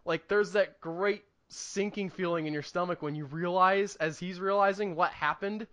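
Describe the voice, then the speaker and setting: Man, high-pitched, bright forceful tone, enthusiastic, emphatic, casual movie review chat, rambling co-host. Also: fairly even intonation, normal speed. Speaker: podcast co-host reviewing films.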